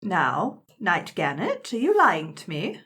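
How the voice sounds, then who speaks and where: A woman takes part in an improvised tabletop roleplay. Her pitch moves around freely as she talks, and her wording is polite and a little prim, even in casual game chat. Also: slow.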